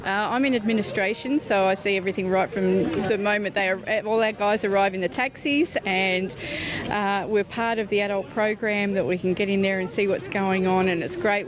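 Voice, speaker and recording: A woman with an Australian accent, an administration worker, chatting in an informal interview outdoors. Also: mid-range voice. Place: outdoors at a park event. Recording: outdoor event with crowd noise.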